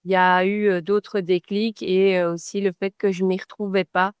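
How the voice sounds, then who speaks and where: A woman with a smooth, clear voice at a medium pitch, answering as a women's health coach. She talks casually and halting, filling her pauses with many hesitations. The sound is close and dry. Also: little pitch movement, dry recording. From Belgium. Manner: hesitant, many fillers, laughs easily.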